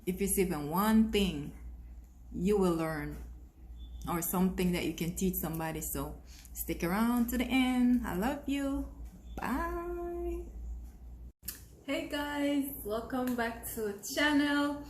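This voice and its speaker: A woman's voice: mid-range voice, slow pace, darkish tone, reverberant, a little hiss or hum, poor audio. A young Jamaican woman with a Jamaican accent, talking to camera in an earnest, encouraging way that repeats phrases for emphasis. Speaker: vlogger. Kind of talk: casual talk to camera.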